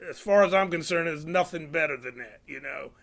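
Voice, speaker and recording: A man's voice: fairly high pitch, fairly quick, vocal fry, a bit bright. An older man who calls himself a senior citizen, hosting an interview with enthusiastic, rambling questions full of fillers. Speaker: interviewer, host. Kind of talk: casual interview conversation.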